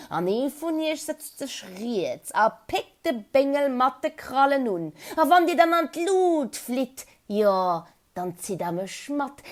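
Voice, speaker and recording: Woman as a high, pleading, stubborn turtle. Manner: wheedling, exclaiming, drawn-out pleading. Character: curious, stubborn, pleading turtle, sleepy and grumpy.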